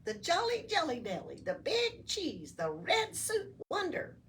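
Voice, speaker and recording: A woman's voice: extremely high pitch, wildly swooping pitch, a little slow, fairly bright tone, voice pushed up, full character voice. A woman voicing a gleeful, teasing little male Christmas elf in a storybook read-aloud. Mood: cheerful bragging. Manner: giggly, teasing, exclaiming. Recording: noisy room, distant microphone.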